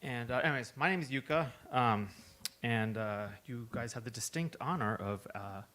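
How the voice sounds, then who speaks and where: A Finnish-born man chatting hesitantly into a stage microphone between songs at an open mic, with lots of pauses. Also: mid-range voice. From Finland. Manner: hesitant, soft-spoken, full of pauses. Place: small music club stage. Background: guitar playing.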